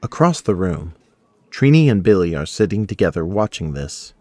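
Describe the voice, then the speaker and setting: A man narrating a scripted audio drama in a measured, solemn storytelling manner. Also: sits low, very animated, darkish tone, no echo.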